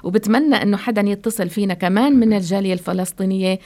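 A woman's voice: medium pitch, racing. A woman hosting a call-in interview, attentive and encouraging, often murmuring agreement. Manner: attentive, constant soft "mm" backchannels, asks gentle questions. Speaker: radio show host.

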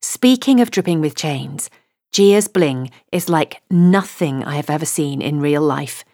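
Woman narrator, lowish voice, wry chatty audiobook read, dry booth sound. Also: lively intonation, a little slow, a little mellow, dry recording. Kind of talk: first-person comic novel reading.

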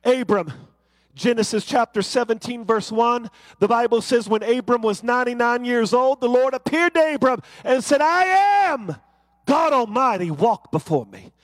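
High-voiced fervent male preacher. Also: lively intonation, normal speed, no echo. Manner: rising to fervent, rhythmic preaching with repeated refrains. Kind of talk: sermon.

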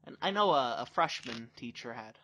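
Bright-voiced joking young man. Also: medium pitch, animated, normal speed, a little hiss or hum. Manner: joking, swears often, mumbles with fillers when he turns earnest. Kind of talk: casual banter.

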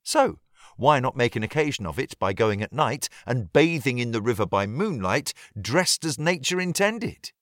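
A British man narrating a period novel from a script, precise and measured with dry wit. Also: mid-range voice, very animated, a bit bright, no echo. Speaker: audiobook narrator.

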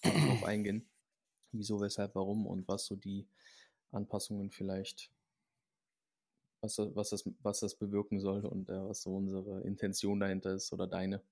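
Low-voiced guy, rambling. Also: normal speed, vocal fry. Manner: rambling, relaxed, frequent fillers. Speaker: athlete guest. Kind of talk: casual podcast chat.

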